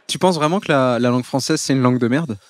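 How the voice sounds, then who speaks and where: A man's voice: medium pitch, fast, no room sound. A man hosting a casual podcast interview, prompting and teasing his guest. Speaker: podcast host.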